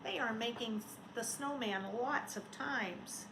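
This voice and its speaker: Casting: female teacher for an interactive kids' read-aloud, unhurried and coaxing, in a roomy, echoey space. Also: on the high side, gravelly. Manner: expressive, coaxing, talks directly to young children. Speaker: teacher reading a storybook aloud. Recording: roomy echo, faint background noise.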